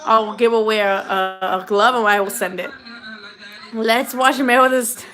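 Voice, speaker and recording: A woman's voice: on the high side, fairly even intonation, unhurried, a bit bright, low-quality recording. A young woman from Mexico, chatting casually and swearing freely while hosting a boxing livestream. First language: Spanish.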